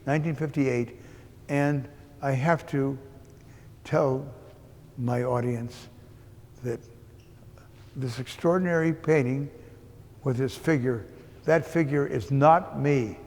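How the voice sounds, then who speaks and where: An elderly man guides an informal art tour in a voice full of fry and gravel. He speaks haltingly and deliberately, with a deadpan joking streak. His middling-pitched voice rings through a very reverberant indoor gallery. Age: 80s. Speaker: art gallery owner giving an exhibition tour. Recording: long echo of a hard-walled gallery.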